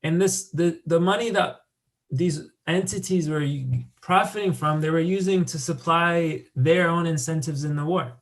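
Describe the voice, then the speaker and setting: A man's voice: on the high side, normal speed. Conversational American man giving a talk. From United States. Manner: loose and conversational, many fillers and restarts. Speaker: conference speaker. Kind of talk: conference presentation with slides.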